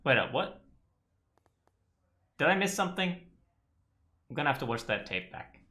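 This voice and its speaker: Bright-voiced man commenting on a game. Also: fairly high pitch, sing-song, a little slow. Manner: rambling, self-deprecating. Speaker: game streamer. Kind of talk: casual let's play commentary.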